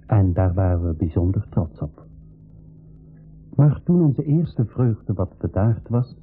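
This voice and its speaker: A man with a Flemish accent playing an adventurer who narrates his travels in a measured, storytelling way for a radio play. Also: deep voice, husky. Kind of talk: scripted first-person radio play narration. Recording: muffled, thin old radio recording.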